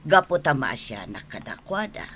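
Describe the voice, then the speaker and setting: A woman's voice: extremely low pitch, big pitch swings, moderate pace, fairly bright tone, slight background noise, full character voice. A woman acting a worried mother in an Ilocano radio drama, plaintive and admonishing, with an Ilocano accent. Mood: plaintive reproach. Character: worried, lamenting mother pleading with her wayward grown son.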